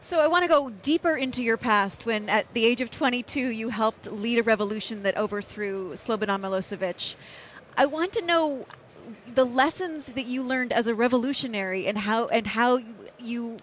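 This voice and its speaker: A woman's voice: on the high side, normal speed, slight background noise. A middle-aged woman hosting a live conference interview, asking probing conversational questions. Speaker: host. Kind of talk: live trade-show interview. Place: busy conference hall. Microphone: headset mic.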